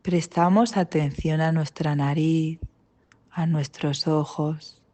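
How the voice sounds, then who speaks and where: Woman, Spain accent, meditation guide, very slow pace, soft-edged mellow tone, calm, gentle. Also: low voice. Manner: calm, gentle, instructional with long pauses. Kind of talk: guided mindfulness body scan meditation.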